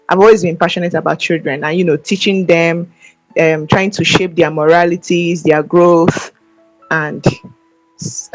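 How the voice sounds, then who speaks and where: Young nigerian woman, soft-spoken, polite, hesitant, video call, faint music behind. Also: fairly low pitch, dry recording, rough recording. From Ebonyi State, Nigeria. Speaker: guest. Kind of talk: interview answers.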